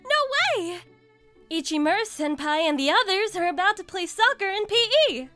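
Woman as piercingly high, excitable schoolgirl fan. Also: moderate pace, full character voice. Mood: starstruck. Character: starstruck, excitable, squealing teenage schoolgirl admirer. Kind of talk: manga fandub acting. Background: music.

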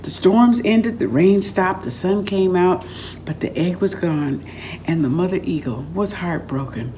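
Older woman storyteller, lowish voice. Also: dark tone, a little hiss or hum. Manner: dramatic, deliberate storytelling. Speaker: author reading aloud from own book. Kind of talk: expressive storybook read-aloud. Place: room at home, indoors.

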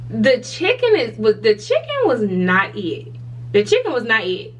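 A woman's voice: fairly high pitch, huge pitch swings, a little slow, lo-fi sound. Young woman, casual vlog, frank, slightly distant mic, faint room hum. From Southern US. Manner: chatty, frank, laughs often. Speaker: vlogger. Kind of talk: casual chat to camera. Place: hotel room.

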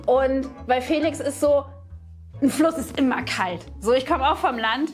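Woman, pretty high voice, chatting casually on a game show, light music behind her. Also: a little slow. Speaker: game show contestant and host. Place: indoor studio room.

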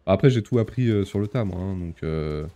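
A man's voice: sits low, expressive, moderate pace, mellow. A man in his thirties chatting casually while streaming a game, talking with lots of hesitant fillers and reading chat aloud. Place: small room with purple lighting.

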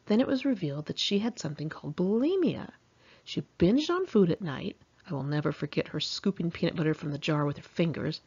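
A woman's voice: low-pitched, husky, highs cut off. An American woman reading her own memoir in a conversational, candid and wry, self-mocking way. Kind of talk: scripted audiobook narration, conversational.

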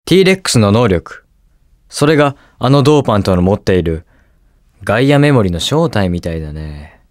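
A man's voice: fairly low pitch, a little fast, dry recording, studio quality. A young man playing a cool, inquisitive detective superhero in a video game, with precise, teasing delivery. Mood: smug, analytical. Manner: calm, precise, smug and curious delivery. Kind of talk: Japanese video game voice acting, short lines.

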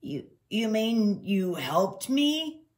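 Lady doing a hurt grumbly boy boxer dog for a kids story, groggy, dragging words out real slow. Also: mid-range voice, big pitch swings, darkish tone, voice pushed up. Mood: grudging gratitude. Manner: groaning, grumbling.

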